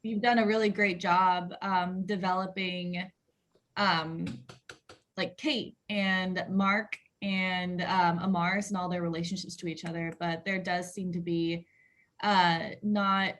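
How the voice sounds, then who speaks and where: Woman on a video call giving feedback, mid pitch, kinda halting and unsure. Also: steady tone, fairly bright tone. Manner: hesitant, halting. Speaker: table read participant giving feedback. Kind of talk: casual discussion.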